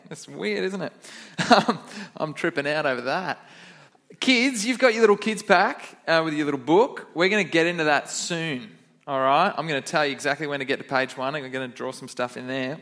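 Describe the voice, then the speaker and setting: A man's voice: a little high, pushed and bright. A young man, a kids pastor, giving a chatty, upbeat children's sermon in church and quizzing the kids.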